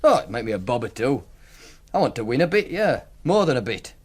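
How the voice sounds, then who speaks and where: A man's voice: medium pitch, very animated, fairly quick, breathy edge. A man acting in a radio drama as a cocky, teasing racing punter with a Yorkshire accent, speaking colloquially like a storyteller. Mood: earnest, confiding. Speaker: actor.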